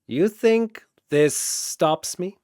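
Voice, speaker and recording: An arrogant fallen-angel villain acted by a male narrator. He is menacing and grandiose, drawing out his words slowly in a dry fantasy story recording. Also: sits high, animated, a little mellow, no room sound, pitched above the performer's own voice, full character voice. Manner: grandiose, drawn-out.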